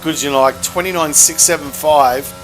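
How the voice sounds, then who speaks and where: A man commentating a hot lap on an online racing broadcast, brisk and cheeky. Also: on the high side, fairly even intonation, soft background music, poor audio. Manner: brisk, cheeky and matter-of-fact.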